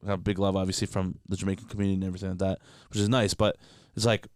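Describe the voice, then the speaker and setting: A man's voice: deep voice, little pitch movement, darkish tone, no room sound, studio quality. A man from Canada, a guest comedian, chatting candidly on a podcast and swearing freely as he talks. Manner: rapid, candid, profane, heavy filler words. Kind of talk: casual podcast chat.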